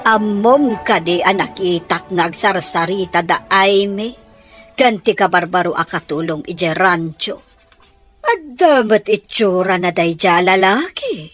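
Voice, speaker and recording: A woman's voice: mid-range voice, animated, measured pace, fairly bright tone, soft background music. An actress playing a sly, manipulative middle-aged stepmother in a radio drama, with a theatrical, conniving delivery. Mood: narrating, watchful. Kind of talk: Ilocano radio drama acting.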